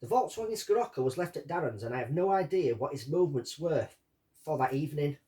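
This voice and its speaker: A man reading out a witness statement and commenting on it as a true-crime host, in deliberate short phrases. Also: on the high side, expressive, mellow. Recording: distant from the microphone.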